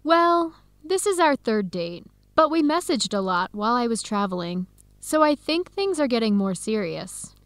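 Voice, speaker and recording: A woman playing a cheerful, adventurous young American in a scripted radio drama, speaking clearly and brightly with an American accent. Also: a little high, sing-song, normal speed, no room sound. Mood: friendly, upbeat. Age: young adult.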